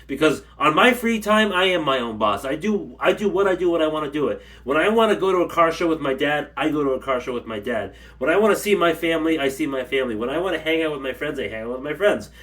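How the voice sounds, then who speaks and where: A man's voice: fairly high pitch, expressive, quick pace, few pauses, fairly bright tone. A man ranting casually to his camera at home, rambling and blunt, a little stuffy from allergies. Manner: rambling, blunt, repeats himself. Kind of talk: casual rant. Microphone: camera mic.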